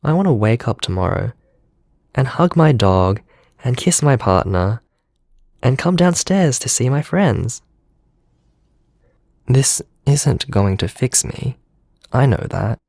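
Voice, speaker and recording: A man acting a gentle, earnest man healing from trauma in an intimate audio drama monologue. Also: mid-range voice, sing-song, takes it slowly, gravelly, pauses often, no echo, studio quality, full character voice. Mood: weary, hopeful. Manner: earnest, confiding, reflective.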